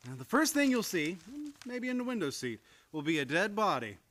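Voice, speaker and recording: An actor playing an excitable young theatre critic who stammers and exclaims in old-time radio comedy style. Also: male voice, high-pitched, brisk, slight background noise. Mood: wry. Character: excitable theatre critic nephew, horrified and sputtering.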